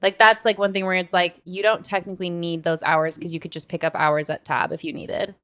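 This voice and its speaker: A woman's voice: on the low side, little pitch movement, fairly quick, a bit bright, clear, resonant tone. A woman podcast host in a casual, earnest chat, offering advice informally.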